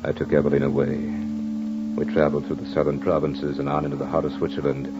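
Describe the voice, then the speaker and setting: An actor playing a tormented, devoted husband in an old-time radio horror drama, with melodramatic, theatrical delivery. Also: male voice, rumbling low, level tone, fast talker. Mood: haunted, dramatic. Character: tormented, devoted husband haunted by a vengeful phantom. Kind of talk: old-time radio horror acting, first-person narration. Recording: dull vintage radio recording with music.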